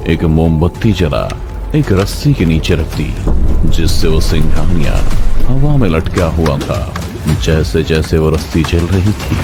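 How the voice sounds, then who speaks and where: A man narrating a scripted suspense story in a dramatic style. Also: low voice.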